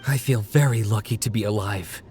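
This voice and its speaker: An actor playing a sombre, determined survivor in a game, speaking earnestly and in a measured way. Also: male voice, fairly low pitch, a little mellow, soft background music, natural voice.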